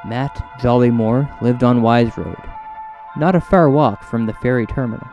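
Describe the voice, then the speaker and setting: A man hosting and narrating a spooky comedy as a paranormal investigator, mock-serious and deliberate in his storytelling. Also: medium pitch, a little mellow, muffled recording. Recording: music bed under narration. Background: music.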